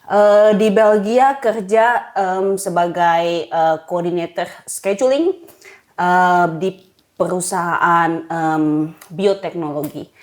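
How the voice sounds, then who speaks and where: A woman's voice: fairly low pitch, slow, darkish tone. An Indonesian woman co-hosting a podcast, introducing herself in casual chat. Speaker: podcast co-host.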